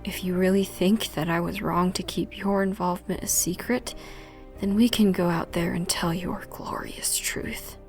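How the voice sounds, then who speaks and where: A woman's voice: on the low side, steady tone, normal speed, soft background music, natural voice. Woman acting a stern, defensive female commander. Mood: angry, defensive. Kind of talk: amateur anime fan audio drama acting.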